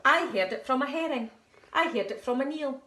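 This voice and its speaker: A woman reads a chatty little shrimp, rattling through her list in a lively, repeating storybook voice. It sits fairly high and echoes in the room. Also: normal speed, a little hiss or hum. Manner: lively, repetitive listing. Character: chatty little female shrimp passing on gossip.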